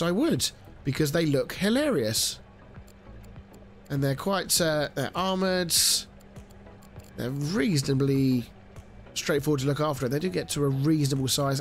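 A man's voice: a little high, moderate pace, soft background music. A man in his thirties with a nasal, stuffy voice from a cold, rambling chattily through a let's-play with plenty of fillers. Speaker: gaming channel host. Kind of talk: casual let's-play commentary.

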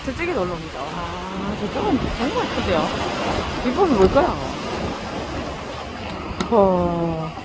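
Excited woman narrating on windy seawall. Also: mid-range voice, takes it slowly, long pauses. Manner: exclaims often, laughs a lot. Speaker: fishing channel host filming. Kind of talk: excited live commentary while filming. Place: outdoors on a rocky seawall by the sea. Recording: outdoor wind and surf noise. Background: wind and waves.

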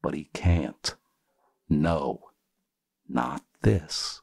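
A man performing poetry in an expressive, theatrical, deliberate reading style. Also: a bass voice, gravelly, breathy edge, dry recording.

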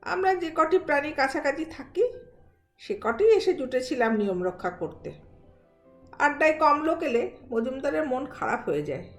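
A woman storyteller reading a literary story as expressive scripted narration. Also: high-pitched, normal speed, soft background music. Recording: roomy echo.

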